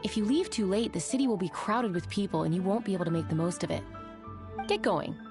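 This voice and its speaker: A woman's voice: mid-range voice, animated, quick pace, lightly acted. A woman voicing a polite, friendly innkeeper in a video game, explaining things courteously. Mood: gently urging. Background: music.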